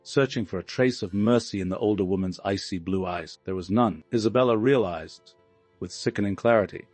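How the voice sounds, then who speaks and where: A man with a fairly low voice narrates a scripted story. His delivery is that of a dramatic audiobook reader. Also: fairly quick, darkish tone, no room sound, faint music behind.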